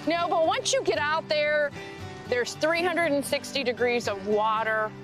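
A middle-aged woman answers interview questions outdoors by a lake, her voice high and eager. She talks candidly, with music clearly playing beneath her. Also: unhurried, poor audio. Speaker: lighthouse owner being interviewed.

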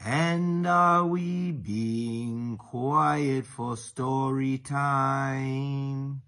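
A middle-aged man reading aloud to children as a teacher, in a playful, deliberate, expressive way. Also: mid-range voice, gravelly. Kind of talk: children's story time read-aloud.

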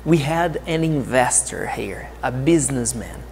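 A man in his thirties with a Brazilian Portuguese accent, teaching an English lesson to camera in a slow, clear, friendly way. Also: medium pitch, animated, darkish tone, long reverb tail, slight background noise. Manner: deliberate, friendly teaching delivery. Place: outdoor courtyard of a TV set.

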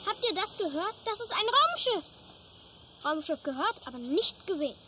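Quirliger kleiner Zebrajunge mit sehr hoher Stimme, von einer Frau gespielt. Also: female voice, very high-pitched, moderate pace, very noisy. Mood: excited. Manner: excited, bossy in a friendly way. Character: eager, imaginative little zebra boy. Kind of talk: animated children's cartoon dub. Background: background music.

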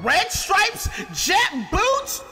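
A man's voice: extremely high pitch, sing-song, extremely slow, heavily acted. A man voicing a pompous, easily enraged middle-aged mad scientist in an improvised comic game fan dub, theatrically moving between muttering and shrieking. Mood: scheming. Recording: loud game music behind.

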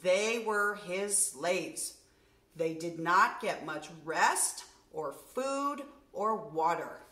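A middle-aged woman, a nursery school teacher, reading a story aloud to young children in an expressive, deliberate way. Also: lowish voice. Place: furnished room at home, indoors. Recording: roomy indoor echo.

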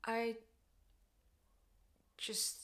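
A woman performing a stage monologue as a grieving, bitter mother confronting her ex-husband, speaking in deliberate, emotionally intense phrases. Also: a bit bright. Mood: hushed, aching.

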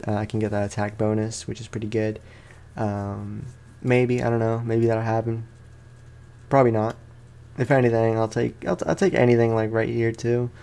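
Gravelly young man, casual gaming commentary. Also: fairly low pitch, warm tone. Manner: informal, slangy, rambling. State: tired. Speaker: gaming video host. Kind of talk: casual let's play commentary.

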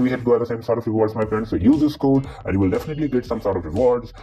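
A man with a pitched-down monster voice filter, walking through game codes and spelling each one letter by letter. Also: warm tone, low-quality recording. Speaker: gaming channel host. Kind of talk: mobile game code tutorial. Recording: voice-over with faint guitar music.

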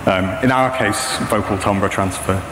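A man's voice: fairly low pitch, measured pace. A man, around his thirties, giving an informal technical talk in a hesitant, explanatory manner. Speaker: presenter, saxophonist and machine learning practitioner. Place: indoor stage at a talk venue, before a projection screen. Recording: echoey hall, clearly noisy background.

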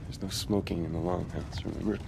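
An actor playing a cocky, defiant young man in a video game, sarcastic, casual and brash, with a gravelly voice. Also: sits low, fairly even intonation, brisk, heavy background noise. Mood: wry. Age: young adult. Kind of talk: naturalistic video game acting.

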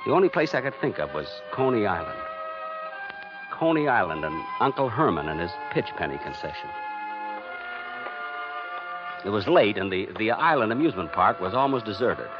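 A man's voice: lowish voice, big pitch swings, fairly quick. An actor playing a frantic everyman on the run, narrating a 1950s radio thriller with tense, dramatic phrasing. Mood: worried. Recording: dull old 1951 radio recording, loud music.

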